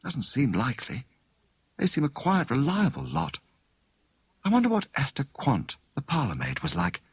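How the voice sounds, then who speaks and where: A man voicing a thoughtful, inquisitive young woman amateur detective in an audiobook story, musing deliberately. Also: medium pitch, wildly swooping pitch, vocal fry, breathy edge, no echo, exaggerated voice. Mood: speculative.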